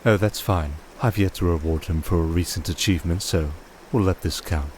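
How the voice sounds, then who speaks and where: A man playing a commanding, composed vampire archduke in a fantasy audio roleplay, speaking in a formal, measured, dryly teasing way. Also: low voice, heavy background noise, close to an everyday voice. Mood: gracious, composed.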